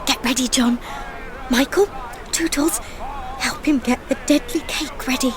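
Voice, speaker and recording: A woman playing a brisk, eager, commanding girl storyteller in an audio drama. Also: high-pitched, a crowd in the background. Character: brisk, bossy storytelling girl, children's leader.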